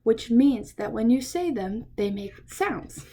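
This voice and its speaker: Woman doing kids storytime, higher mellow voice, takes it slow, chatty questions to the little ones. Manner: expressive, addresses children with questions. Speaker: read-aloud storyteller for children. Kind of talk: animated picture-book reading with asides to young listeners.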